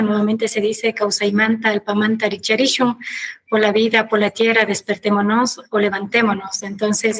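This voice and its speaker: Even-toned Kichwa-speaking woman. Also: on the high side, rough recording. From Ecuador. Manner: measured, earnest storytelling. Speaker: guest. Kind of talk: personal testimony in a panel.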